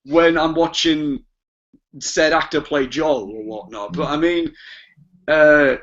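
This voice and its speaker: A man's voice: a little high, slow pace, fairly bright tone. A man talking casually as a podcast panelist, informal and rambling as he discusses things. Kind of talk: casual film and game discussion. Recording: dull lo-fi podcast audio.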